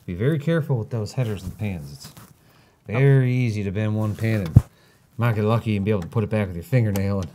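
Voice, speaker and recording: Lower-voiced man, dry joker, hands-on PC builder, light noise under the mic. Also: warm tone. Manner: teasing, dry humor. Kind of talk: casual live-stream banter.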